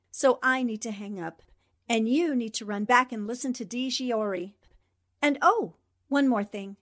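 A fairly high-pitched actress as a female newspaper reporter in a radio drama. She is plucky and wry, keeping her composure with a sharp tongue.

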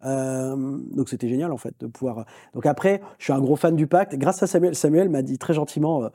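A man, a film director, talking in a long interview, quick and animated, with many restarts and fillers. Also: a little high, slightly rough. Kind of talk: long-form conversational interview.

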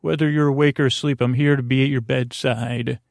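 Male podcast host for sleep show: creaky voice, dry close sound, meandering and digressive delivery. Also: mid-range voice, steady tone, dry recording. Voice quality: creaky, gravelly. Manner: meandering, digressive, halting, circling back on itself. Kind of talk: rambling bedtime story podcast monologue.

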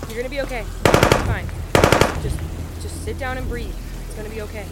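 High-voiced caring actress as woman survivor. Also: steady tone, a little fast, close to an everyday voice. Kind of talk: audio drama acting. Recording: very noisy, gunfire and vehicles behind.

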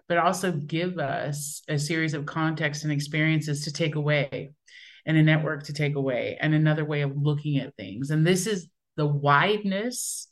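A woman entrepreneur talking enthusiastically in a discussion, her pace shifting between quick and slow stretches. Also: low voice. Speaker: entrepreneur guest. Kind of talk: conversational discussion.

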